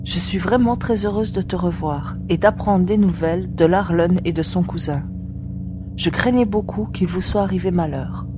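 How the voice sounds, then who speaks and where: A woman's voice: lowish voice, moderate pace, background noise, close to an everyday voice. A woman playing a determined young female mercenary in a science fiction audio drama. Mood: relieved.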